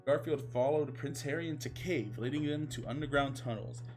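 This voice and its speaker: A man's voice: medium pitch, brisk, a little mellow, soft background music. A man reading fan fiction aloud as a comic dramatic narrator, mock-serious and emphatic.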